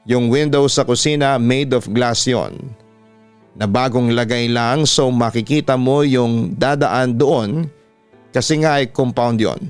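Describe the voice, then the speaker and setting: A man's voice: medium pitch, measured pace, clean tone. A man with a Filipino accent reading a scripted horror story as a radio announcer would, over background music. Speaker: radio announcer and host reading listeners' stories. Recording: instrumental music bed behind a dry voice.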